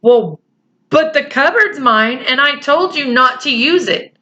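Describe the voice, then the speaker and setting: A woman's voice: high-pitched, animated, measured pace, bright, forceful tone, voice pushed up, full character voice. A woman reading aloud and voicing an anxious, bossy boy who scolds and argues with his friend. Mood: arguing. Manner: scolding, lecturing. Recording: slightly muffled home recording.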